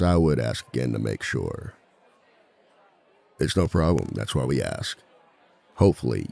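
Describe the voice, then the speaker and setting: A man playing a composed, dry-witted villain who teaches a seminar in a scripted ASMR roleplay, with a measured, polite authority and a gravelly voice. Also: mellow, heavily acted. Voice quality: very deep, gravelly. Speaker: seminar instructor.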